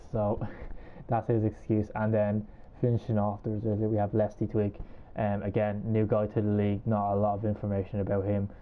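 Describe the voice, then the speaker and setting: Irish male podcast host, low flat voice, phone-quality call audio, chatty and hedging. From Ireland. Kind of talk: casual podcast chat.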